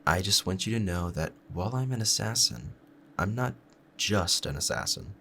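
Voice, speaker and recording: A man playing a calm, proud assassin in love, both menacing and tender, talking to the listener in a measured, formal, confiding way in an audio roleplay. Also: lowish voice, vocal fry, slight background noise, full character voice. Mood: tender, regretful. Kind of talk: scripted romantic ASMR audio roleplay.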